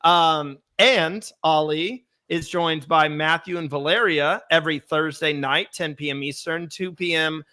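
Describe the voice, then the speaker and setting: Man, young adult, hoarse, bright forceful tone, chatty podcast host. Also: on the high side, a little slow, few pauses. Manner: chatty, upbeat, reads viewer comments aloud. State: hoarse, recovering lost voice. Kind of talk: live podcast chat.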